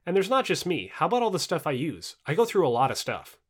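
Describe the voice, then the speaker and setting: A man's voice: fairly high pitch, sing-song, quick pace, slightly rough. Man reading as a wry, skeptical modern woman. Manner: wry, sarcastic, questioning. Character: skeptical, wry present-day woman questioning the future.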